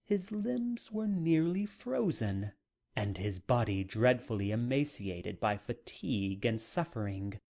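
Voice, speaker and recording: Literary narrator: measured dramatic reading, very animated intonation, slow tempo. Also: medium pitch. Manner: measured, deliberate phrasing. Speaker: audiobook narrator.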